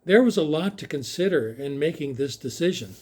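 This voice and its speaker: A man playing a sympathetic, even-keeled coach who delivers hard news patiently in an audio drama read. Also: fairly high pitch. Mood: sympathetic, regretful. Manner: patient, measured, apologetic.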